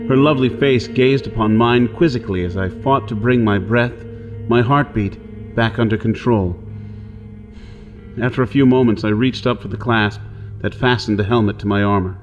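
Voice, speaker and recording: An actor playing a lovestruck outlaw adventurer from Earth in a pulp radio drama, earnest and dramatic. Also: male voice, on the low side, moderate pace, lo-fi sound, faint music behind, lightly acted. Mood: tense, reflective. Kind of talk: first-person pulp radio drama narration and dialogue.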